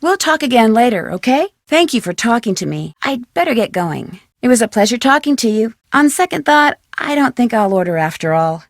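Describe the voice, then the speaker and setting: A woman playing a polite, curious young American detective in a video game, speaking short scripted lines in a clear, upbeat way. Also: on the high side, very animated, brisk, hardly any pauses, dry recording, clean studio sound. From United States. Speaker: video game character voice actor.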